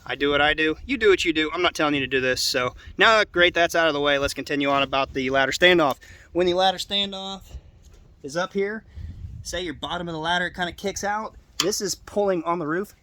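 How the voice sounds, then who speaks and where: A man's voice: a little high, a little fast, bright, forceful tone. A man with a congested, nasal voice giving an informal, unscripted hands-on explanation as a roofer, in a plainspoken, rambling way. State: congested nose. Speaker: roofer presenting his own video. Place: outdoors on a house roof.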